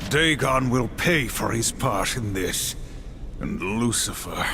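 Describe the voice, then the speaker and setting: An actor playing a stern, brooding warrior horseman in a video game, speaking in a grave, measured, terse way. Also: medium pitch, animated, noisy background. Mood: stern, suspicious. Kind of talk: video game cutscene acting.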